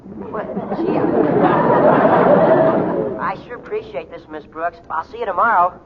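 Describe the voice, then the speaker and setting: An eager, courteous teenage boy from a vintage radio comedy. His voice is quite high and boyishly sincere, on a dulled old recording. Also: a little slow, a loud crowd. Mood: grateful, earnest. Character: earnest, polite teenage boy, gee-whiz gratitude. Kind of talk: 1940s-50s radio comedy acting. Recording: muffled, faintly noisy old radio recording.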